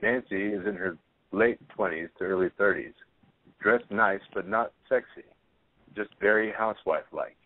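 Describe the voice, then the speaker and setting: A man with a fairly low voice reads stage directions as scripted narration. The audio is narrow and tinny, like a phone line. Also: normal speed, no echo.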